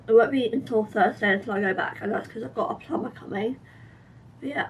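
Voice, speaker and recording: Croaky young woman vlogger, a little high, tired and rambling, mic back a bit with faint rumble. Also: far from the mic, low-quality recording. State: croaky, sore-throated voice. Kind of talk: casual diary vlog.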